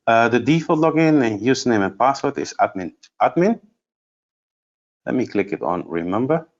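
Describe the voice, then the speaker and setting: A man giving an unscripted software demonstration as a trainer, explaining step by step and thinking aloud in a halting way. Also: mid-range voice, sing-song, highs cut off. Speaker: trainer presenting a product course.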